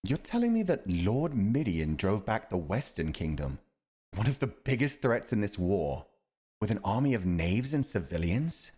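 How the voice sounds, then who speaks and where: A man's voice: fairly low pitch, very animated, moderate pace, a little mellow, husky. A man playing a loyal, principled royal commander in a fantasy audio roleplay, speaking in a grave, formal and dutiful manner. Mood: incredulous.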